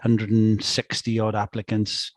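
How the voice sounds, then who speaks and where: A man talks on a video call in a measured, matter-of-fact way. His voice is fairly low and very flat in pitch. The sound is dry, with no room echo. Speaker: engineering business manager. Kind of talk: casual account on a video call.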